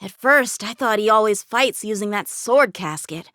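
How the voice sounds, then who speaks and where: A woman's voice: medium pitch, lively intonation, moderate pace, bright, forceful tone, no room sound, clean studio sound. A woman voicing an eager, confident teenage boy swordsman in a video game, with an earnest, cocky, youthful manner. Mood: earnest, thoughtful. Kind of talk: video game character voice lines.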